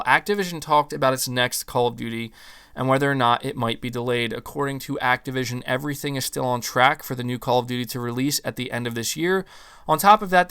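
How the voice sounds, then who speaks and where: A young man hosting a gaming podcast, ranting in casual talk with some swearing, his voice starting to go hoarse. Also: medium pitch, a bit bright. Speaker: podcast host.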